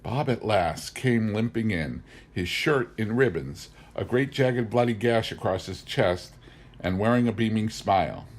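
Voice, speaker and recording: A man narrating a humorous memoir as an audiobook, with wry, measured storytelling. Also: on the low side, animated. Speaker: audiobook reader.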